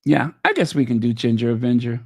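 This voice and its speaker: A man's voice: medium pitch, animated, a little fast, no echo, studio quality. A man hosting a casual livestream chat, losing his voice and making sarcastic, mocking asides. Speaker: livestream host.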